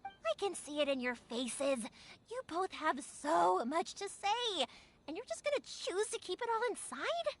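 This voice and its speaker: A woman's voice: very high voice, big pitch swings, unhurried, heavily acted. A woman voicing a spirited, headstrong young fireworks maker in a video game, emphatic and impassioned. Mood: pleading, insistent. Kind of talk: English video game voice acting.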